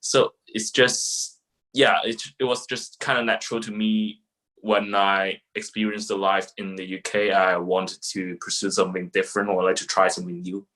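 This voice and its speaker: A man in his twenties speaks as a guest on an interview podcast. He has a Hong Kong accent and a voice a little lower than average. His delivery is casual and informal, often circling back over his phrases. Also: little pitch movement, normal speed, fairly bright tone, rough recording. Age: 20s. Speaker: podcast guest, young lawyer.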